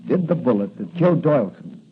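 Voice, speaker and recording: A man's voice: medium pitch, big pitch swings, a little fast, natural voice. Actor as confident young patrolman, muffled old radio. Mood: businesslike. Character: confident young male patrolman sleuthing a case. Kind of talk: 1940s radio drama acting. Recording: muffled, faintly noisy vintage radio broadcast.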